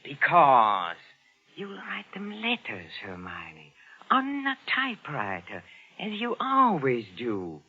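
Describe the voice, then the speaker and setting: An actor playing a fussy henpecked husband turned gleeful murderer, theatrical and excitable in a vintage radio suspense style. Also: male voice, fairly high pitch, takes it slowly, exaggerated voice. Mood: frenzied, menacing. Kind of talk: old-time radio suspense acting with narration. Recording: muffled vintage radio recording with music.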